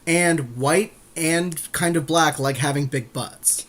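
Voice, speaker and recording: A man's voice: on the high side, slow, fairly bright tone. A man co-hosting a podcast, chatting casually and colloquially as he reasons through a card game draft. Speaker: podcast co-host.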